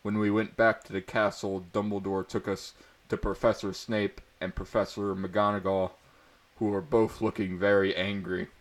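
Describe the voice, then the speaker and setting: A man's voice: on the low side, flat delivery, normal speed. A man doing a mock-dramatic read-aloud of amateur fiction, with asides and a tendency to crack up. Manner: often breaks into laughter while reading. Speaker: amateur reader of fan fiction.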